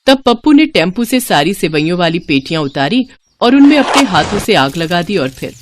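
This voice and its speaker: A woman's voice: lowish voice, sing-song, moderate pace, slight background noise. A woman narrating a scripted moral story as a storyteller.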